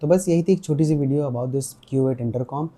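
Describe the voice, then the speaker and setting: A man's voice: mid-range voice, normal speed, mellow. A man with an Indian accent giving a casual product review, explaining step by step and mixing Hindi with English. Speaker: motorcycle gear reviewer.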